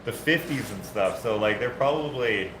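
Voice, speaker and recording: A man's voice: mid-range voice, expressive, moderate pace. A man hosting a live build stream, chatting casually with viewers while he works and often correcting himself mid-sentence. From Canada. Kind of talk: casual chat while working. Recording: echoey workshop with loud background noise.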